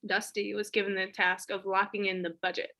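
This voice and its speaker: An actress playing a brisk, sardonic office meeting leader in a comedy web series, businesslike with dry sarcasm. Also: female voice, mid-range voice, level tone, pushed and bright.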